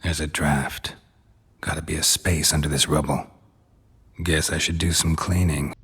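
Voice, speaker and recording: Gruff deadpan monster hunter for a game, american accent, super low raspy voice, stoic and terse. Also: male voice, measured pace, full character voice. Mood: terse musing. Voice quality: gravelly, husky. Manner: clipped, deadpan, low-key. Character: gruff, stoic monster-hunting mercenary, laconic and world-weary. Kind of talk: video game voice acting.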